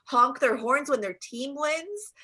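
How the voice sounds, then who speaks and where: A young woman from Jordan chats on a podcast with a slightly raised pitch. She talks playfully and breaks into laughter often. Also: expressive, slow pace, sharp tone. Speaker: comedian guest. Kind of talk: casual podcast chat.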